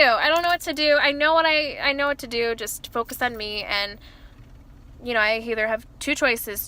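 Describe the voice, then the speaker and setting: A young woman in her early twenties talks to camera from inside her car with a loud, bright and slightly high voice. She rambles, trips over herself and keeps adding "like" and "you know". The small car cabin gives her voice a close, boxed-in sound. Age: early 20s. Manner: rambling, self-interrupting, many fillers like "like" and "you know". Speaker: vlogger. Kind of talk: casual confessional chat to camera.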